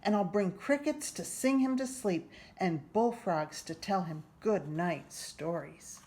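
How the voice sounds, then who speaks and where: Storybook boy, played by a woman: young, earnest, dreamy enthusiast, unhurried delivery, roomy sound. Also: lowish voice, expressive, a little mellow. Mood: eager, earnest. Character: eager, imaginative young boy who loves nature. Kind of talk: children's storybook read-aloud. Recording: roomy echo, faint background noise.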